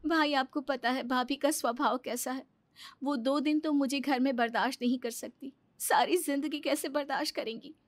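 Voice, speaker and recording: A woman's voice: sits high, steady tone, normal speed, no echo, close to an everyday voice. A woman playing a meek, hurt sister and mother in a story, earnest and pleading. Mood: worried.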